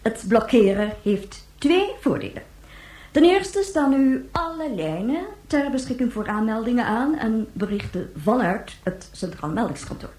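A formal female president of a moon colony in a radio drama. Her voice is fairly high and very varied in pitch, with official, explanatory phrasing. The sound is noticeably roomy. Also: sing-song, a little slow, darkish tone. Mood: composed, official. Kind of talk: radio play acting as a news interview.

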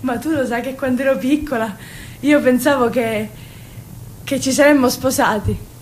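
A woman's voice: steady tone, moderate pace, darkish tone, close to an everyday voice. An actress playing a brash, dreamy young Sicilian woman, poor and yearning, in an emotional theatrical monologue that swings between confiding and exclaiming. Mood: tender, nostalgic. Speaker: stage actress. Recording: roomy sound, faint low rumble.

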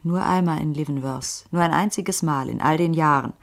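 Low, gravelly, world-weary streetwise woman. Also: normal speed. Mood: insistent, knowing. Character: careworn, streetwise female ex-cop with a tip. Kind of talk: radio drama dialogue, hard-boiled crime.

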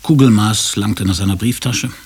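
A man's voice: lowish voice, normal speed, a little mellow, breathy edge. A man narrating a scripted radio drama as storyteller.

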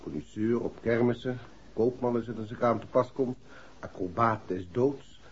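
A man's voice: mid-range voice, normal speed, muffled recording, natural voice. Casting: actor for practical, wry policeman in a 1960s radio play, medium voice, faintly noisy old recording. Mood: businesslike. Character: matter-of-fact male police officer. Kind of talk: 1960s Dutch radio drama acting.

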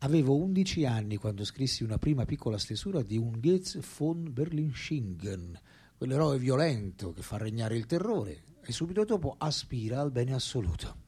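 An actor playing an ageing, self-absorbed philosopher in a radio drama, speaking lines in a theatrical, deliberate, emphatic way. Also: male voice, mid-range voice, sing-song, warm tone, husky. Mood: weary, reflective. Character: famous philosopher as old man, wry, intense, self-absorbed.

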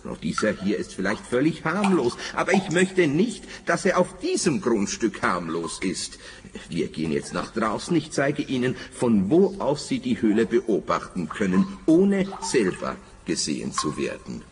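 An earnest, pedantic forester in a radio play. His voice is fairly low and leaps up and down in a very animated melody. He sounds officious and stilted, over faint background noise. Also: unhurried. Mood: earnest, suspicious. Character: earnest, officious male forester, devoted company man. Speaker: supporting actor.

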